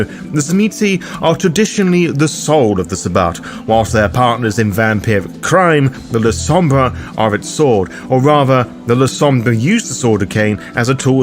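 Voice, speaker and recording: Man narrating dark fantasy lore over music. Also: medium pitch. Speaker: host and narrator of a roleplay guide. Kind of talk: scripted narration of game lore. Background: music under the voice.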